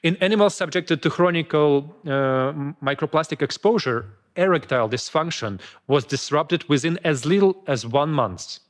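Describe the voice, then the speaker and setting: A man's voice: a little high, animated, moderate pace, few pauses. Man giving a scientific conference talk. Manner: reads carefully with small stumbles and restarts. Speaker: conference speaker. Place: conference hall.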